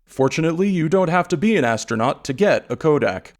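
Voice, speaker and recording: Man as animated 1960s radio pitchman. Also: fast, close to an everyday voice. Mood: proud, promotional. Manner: polished, promotional. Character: polished male 1960s sponsor announcer, proud. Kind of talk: 1960s radio commercial read.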